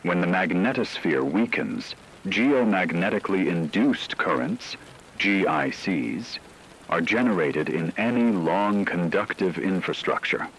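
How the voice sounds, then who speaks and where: A man playing a grave expert in a scripted radio disaster broadcast, speaking in a measured, technical and formal way. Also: low voice, animated, gravelly, fairly bright tone, slight background noise, full character voice, poor audio. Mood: grave, clinical. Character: grave male expert briefing on a global disaster.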